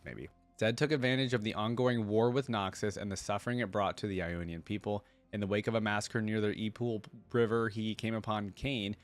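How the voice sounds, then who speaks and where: A man hosting a casual reaction video, reading aloud and commenting informally as he thinks things through. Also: lowish voice.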